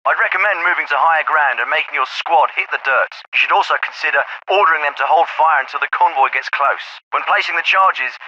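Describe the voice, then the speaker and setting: A man's voice: a little high, sharp tone, highs cut off, a little hiss or hum. A man with a British accent voicing a terse, calculating special forces officer in a video game, clipped and authoritative, through a radio filter. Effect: radio filter on many lines. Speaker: squad commander giving orders.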